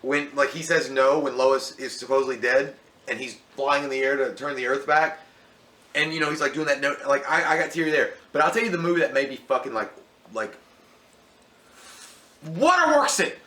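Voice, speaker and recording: A man's voice: mid-range voice, expressive, normal speed, sharp tone, echoey room. A man co-hosting a casual movie chat, rambling and swearing and getting worked up when he retells film scenes. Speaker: co-host of a movie channel.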